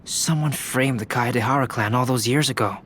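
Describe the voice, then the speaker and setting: A man playing a composed, analytical character in a video game, explaining things in a serious, deliberate manner. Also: medium pitch, a bit bright, a little hiss or hum, close to an everyday voice. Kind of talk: video game story dialogue.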